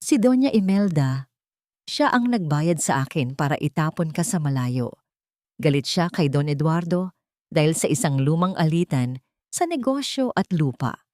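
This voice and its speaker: A woman's voice: sits low, expressive, normal speed, a little mellow, no echo, studio quality. Woman acting a grim old Filipino man. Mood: bitter confession. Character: frightened, sickly, bitter old man with grim secrets.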